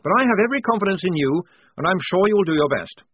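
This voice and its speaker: A man's voice: high-pitched, animated, fast talker, breathy edge, no echo, voice pushed up. A man voicing a fussy, short-sighted young army lieutenant who stammers and hesitates. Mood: hesitant. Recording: dry tinny phone-like sound.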